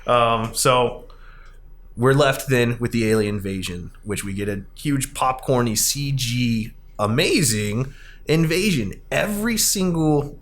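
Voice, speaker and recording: A man hosting a casual movie discussion podcast, talking in an animated, emphatic, rambling way. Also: mid-range voice, unhurried. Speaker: podcast host.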